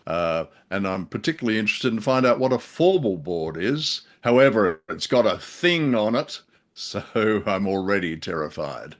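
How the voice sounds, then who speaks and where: Male presenter, deep voice, informal introductory chat. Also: very animated, moderate pace. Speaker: host introducing an old radio show. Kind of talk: casual introduction.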